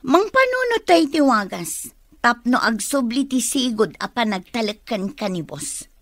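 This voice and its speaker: A woman's voice: a little high, sing-song, measured pace, a bit bright, dry recording, clean studio sound, exaggerated voice. An actress with an Ilocano accent playing a greedy, demanding woman who schemes for money in a radio drama. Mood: scheming. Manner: demanding, conniving.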